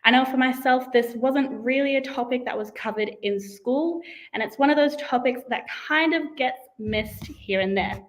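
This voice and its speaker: A woman's voice: high voice, normal speed. A young woman hosting an online pageant livestream, with an upbeat, formal presenter manner. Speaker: host. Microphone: video call.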